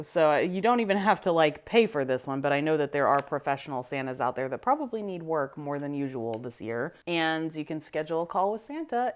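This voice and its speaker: A woman in her thirties with a low voice, chatting casually while doing her makeup for a vlog, upbeat and self-deprecating. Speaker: vlogger. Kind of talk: casual get-ready-with-me vlog.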